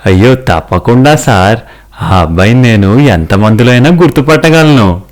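A man's voice: medium pitch, lively intonation, moderate pace, close to an everyday voice. A man voicing a loyal, respectful driver to a rich boss in a cartoon story. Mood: eager, respectful.

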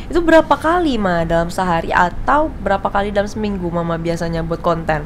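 A woman's voice: on the low side, fast, background noise. A young woman, hosting a casual interview in an agreeable, echoing manner. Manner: agreeable, echoing the guest's answers. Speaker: podcast host, interviewer. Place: small podcast studio, indoors. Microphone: boom-arm studio microphone, headphones on.

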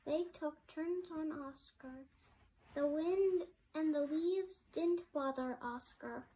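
A young girl reading a children's storybook aloud in a halting way, restarting words as she goes. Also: high-pitched, mellow, rough recording. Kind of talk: halting read-aloud of a picture book.

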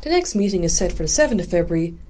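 A woman's voice: lowish voice, very animated, rapid-fire, warm tone, a little hiss or hum. A woman playing a dutiful, chatty Irish village committee secretary in an amateur radio drama.

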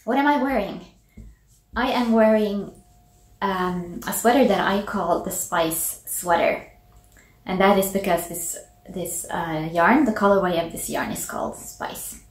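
A Finland-Swedish woman in her forties, a native Swedish speaker, chats to the camera in a relaxed and unhurried way, pausing often to gather her thoughts. She speaks from her small home office, and her voice carries a noticeably roomy echo. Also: medium pitch. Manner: relaxed, thoughtful, with many ums and pauses. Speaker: knitting podcast host. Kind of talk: casual chat to camera. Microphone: camera at desk distance. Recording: echoey small room.

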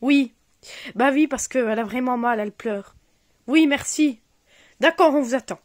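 A woman's voice: high-pitched, measured pace, no room sound, clean studio sound. A woman voicing a flustered mother who scolds her son and then apologizes politely on the phone. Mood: apologetic. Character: flustered mother looking after children.

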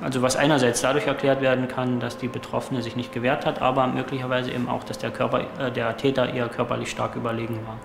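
A man's voice: mid-range voice, flat delivery, fast talker, gravelly, few pauses. A man, a forensic pathologist, speaking factually in an interview clip. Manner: factual, clinical. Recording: roomy office with background noise.

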